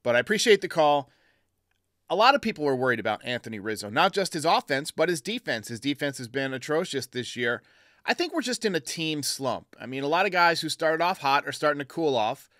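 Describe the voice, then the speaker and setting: A man's voice: on the high side, a bit bright, no room sound, studio quality. A man hosting a fan call-in sports show, giving blunt, emphatic opinions in a casual way.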